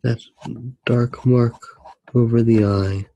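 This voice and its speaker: A soft-spoken man narrating a drawing in ASMR style, hesitant and pausing mid-sentence. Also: mid-range voice, fairly even intonation, muffled recording, a little hiss or hum. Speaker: ASMR art video host.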